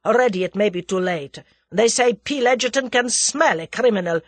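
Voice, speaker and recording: A woman narrator performs a bitter Russian male plotter from a storybook. His voice is rough and husky, pushed forcefully with lively swings. He snarls with exasperated menace, recorded close and dry. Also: on the high side, moderate pace, dry recording, voice pushed up. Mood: despairing suspicion. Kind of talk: dramatised audiobook character dialogue.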